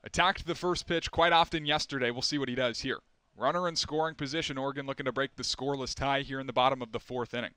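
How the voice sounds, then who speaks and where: A young man doing live play-by-play, with a quick, crisp call that climbs into excited shouts on big plays. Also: medium pitch, dry recording. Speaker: play-by-play announcer. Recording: broadcast with loud arena crowd and noise.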